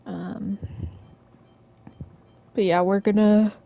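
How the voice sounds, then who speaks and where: A woman's voice: medium pitch, level tone, very slow, creaky, muffled recording. A woman chatting casually as she streams a video game. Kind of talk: casual gameplay chat.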